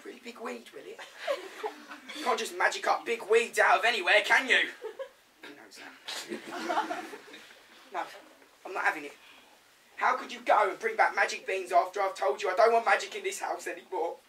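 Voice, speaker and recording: A man's voice: sits high, moderate pace, long pauses, lightly acted. A young man playing a joking pantomime-dame mum. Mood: scolding. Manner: chatty, joking with the audience. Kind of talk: school pantomime acting. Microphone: distant camera mic. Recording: distant, noisy stage recording.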